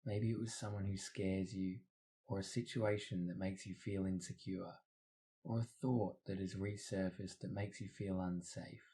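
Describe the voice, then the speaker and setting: Low, mellow male meditation guide. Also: steady tone, moderate pace. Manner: soothing, instructional. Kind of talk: scripted guided meditation narration.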